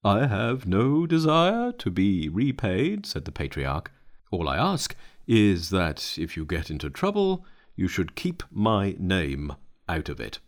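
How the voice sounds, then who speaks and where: A man voicing a stern, elderly male spiritual master in a storybook reading, scolding in a loud, deliberate way. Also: sits low, wildly swooping pitch, exaggerated voice. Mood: cold.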